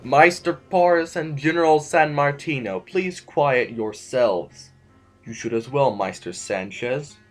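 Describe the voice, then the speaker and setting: A man playing a formal, courteously menacing sovereign who chairs a council, speaking in pompous, measured, halting oratory in an audio drama. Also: mid-range voice, soft background music, close to an everyday voice. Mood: commanding.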